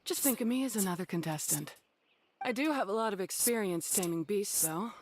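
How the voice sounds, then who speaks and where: A woman's voice: mid-range voice, animated, brisk, a little hiss or hum, close to an everyday voice. A woman playing a blunt, matter-of-fact, self-deprecating beast tamer in a video game. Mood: self-deprecating, casual. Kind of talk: English video game voice acting. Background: game music.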